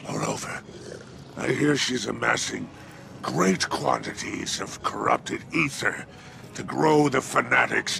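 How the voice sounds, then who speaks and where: Theatrical crime lord, heavy fry, drawling, swooping intonation, ornate, game character, noisy growling backdrop. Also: male voice, mid-range voice, full character voice. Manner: drawling, sly, ornate wording. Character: gravelly underworld crime boss, sly and theatrical. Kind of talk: video game character acting.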